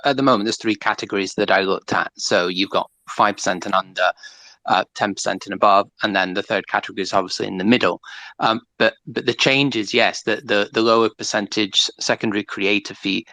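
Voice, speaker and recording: A man's voice: lowish voice, fairly even intonation, fairly quick, sharp tone, no echo. A man explaining findings in a casual online discussion, hesitant and often repeating words as he goes. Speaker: panel speaker presenting own research.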